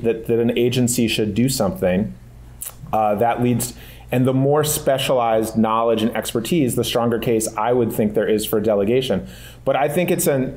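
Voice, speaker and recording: A man speaking as a panelist at a legal discussion, his voice at an ordinary middle pitch. He works through the answer aloud, pausing on fillers and restarting phrases as he goes. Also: darkish tone. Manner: thoughtful, many fillers and restarts.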